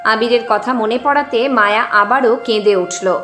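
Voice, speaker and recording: A woman's voice: on the high side, moderate pace, pushed and bright. A woman narrating a scripted dramatic story as its storyteller. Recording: roomy echo with music underneath.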